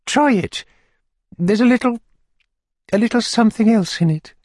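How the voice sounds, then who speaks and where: High, fluttery widow. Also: male voice, a little mellow, no echo, studio quality. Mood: coy, feeble. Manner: breathless, drawn-out, simpering. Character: fluttery, whimpering middle-class widow, a matron in distress. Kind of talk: dramatised audiobook character voice.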